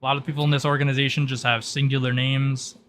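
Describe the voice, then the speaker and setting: A man's voice: medium pitch, little pitch movement, fast talker, fairly bright tone, a little hiss or hum. A man giving an improvised, matter-of-fact mission briefing in a tabletop role-playing game.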